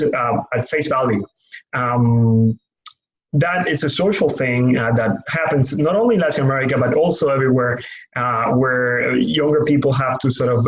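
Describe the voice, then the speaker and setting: A man's voice: medium pitch, dull audio. A young man from Cuba with a Cuban Spanish accent, a Spanish native speaker, speaking thoughtfully and searching for words as he reflects on his life in a personal interview. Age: young adult. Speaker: lay pastoral care worker.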